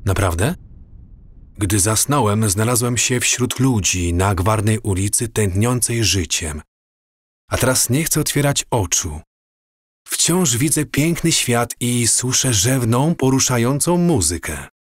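A man playing a dreamy, awestruck wanderer in an audio drama, with a measured, wistful, descriptive delivery. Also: mid-range voice, lively intonation, dry recording, clean studio sound. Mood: dreamy, absorbed.

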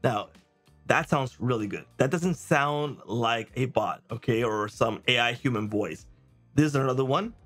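A man in his thirties presents a software walkthrough in a casual, conversational way. His voice sits at a middle pitch, and light music plays behind him. He often checks in with the listener. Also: unhurried. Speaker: presenter of software review videos. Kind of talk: casual software demo to camera. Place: small indoor home studio. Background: background music.

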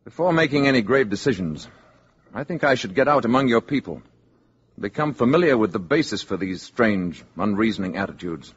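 An actor playing a calm, stern alien envoy in a 1950s radio drama, speaking formally and deliberately with a husky voice. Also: male voice, fairly low pitch. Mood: stern, grave. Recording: dull old 1950s radio recording.

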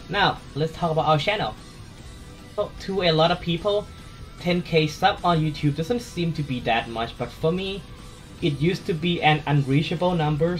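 A man with a Thai accent, hosting his gaming channel in a casual voice-over chat, soft-spoken and a little hesitant. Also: fairly high pitch.

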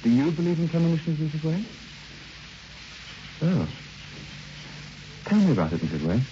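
A man's voice: fairly high pitch, very animated, normal speed, warm tone. An actor playing an intense, insistent, probing man in an old radio drama. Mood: curious. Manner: pressing, insistent. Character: intense, probing male guest with morbid curiosity. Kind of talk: old-time radio acting. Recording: dull, noisy old radio recording.

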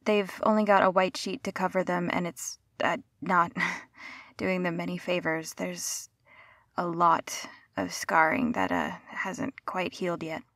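A woman's voice: fairly low pitch, measured pace, fairly bright tone, no echo, studio quality. A woman playing a caring, flustered young rescuer in an intimate audio roleplay, speaking in a hesitant, stammering, soothing way. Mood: gentle, practical.

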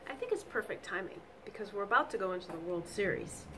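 A middle-aged woman reading a picture book aloud to children, expressive and deliberate, with emphatic exclamations, in a small room. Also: medium pitch, background noise. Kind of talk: animated read-aloud storytelling for children. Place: small indoor office or classroom.

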